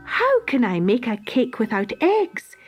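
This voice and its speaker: A woman's voice: a little high, huge pitch swings, unhurried, over music, voice pushed up. A woman voicing a clever young woman baker who fusses and schemes. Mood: coaxing. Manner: bright, fussing, sly.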